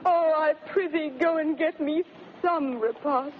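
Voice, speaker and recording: An old, dull-sounding radio broadcast with light noise carries a fiery British wife in Shakespeare. She declaims emphatically in a high, very animated voice, proud and sharp-tongued. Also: measured pace. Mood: pleading. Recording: muffled, noisy vintage 1930s radio broadcast.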